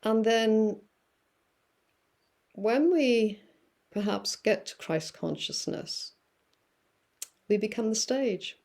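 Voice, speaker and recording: A woman guest musing through spiritual ideas, with many fillers and pauses. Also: fairly high pitch, lively intonation, vocal fry, darkish tone. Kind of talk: reflective spiritual talk.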